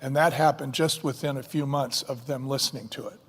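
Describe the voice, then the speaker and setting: A man's voice: medium pitch, fairly even intonation, a little fast, breathy edge. Man in a reverberant hall, telling stories. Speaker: company co-founder, guest. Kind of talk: fireside chat on stage.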